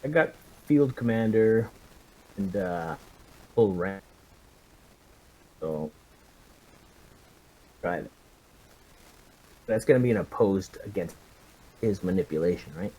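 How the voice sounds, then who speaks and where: Man, fairly low pitch, casual tabletop game talk, hesitant, thinking aloud. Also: lively intonation, takes it slowly, long pauses, a little mellow, breathy edge, poor audio. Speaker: tabletop roleplaying game player. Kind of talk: casual game talk, narrating his character's actions.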